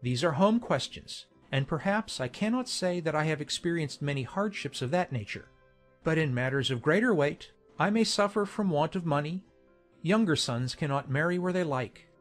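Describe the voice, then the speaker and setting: Man acting courteous gentleman colonel, lightish pitch. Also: animated, close to an everyday voice. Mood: candid, polite. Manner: polite, measured formal phrasing. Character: courteous gentleman colonel, an earl's younger son. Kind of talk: dramatized audiobook acting. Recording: music behind the voice.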